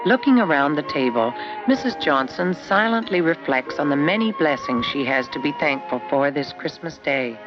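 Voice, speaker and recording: Vintage educational film narrator, measured storyteller, mid-pitched voice, lo-fi soundtrack with prominent guitar music. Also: animated. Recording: dull lo-fi 1970s film soundtrack.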